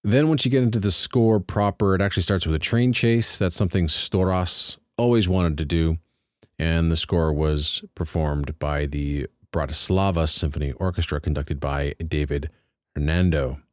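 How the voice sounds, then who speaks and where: A man with a low, deep voice hosts a film-music podcast in an easygoing but enthusiastic way, stressing the words he cares about. The sound is close and dry, with no room echo. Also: moderate pace. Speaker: podcast host. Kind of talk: scripted radio-style presentation.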